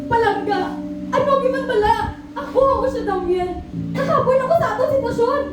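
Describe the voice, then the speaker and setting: A woman's voice: very high-pitched, fairly quick, heavy background noise. A woman playing a young, emotional girlfriend, hurt and jealous, in an amateur radio drama. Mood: hurt, furious. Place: school audio-visual room. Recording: very echoey hall, distant mic, loud music behind.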